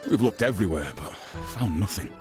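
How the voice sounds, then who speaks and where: A senior mafia agent in a game, really low voice, kinda dismissive and patronizing, music playing behind. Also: male voice, expressive, a little fast. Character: senior family agent, plain-spoken, patronizing old hand. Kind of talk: scripted video game dialogue.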